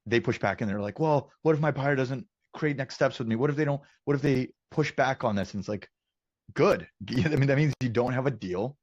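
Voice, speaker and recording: A man's voice: medium pitch, a little fast, a little mellow, dry recording, lo-fi sound. A man hosting a casual business interview, talking in an encouraging, affirming way. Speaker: interview host, company founder.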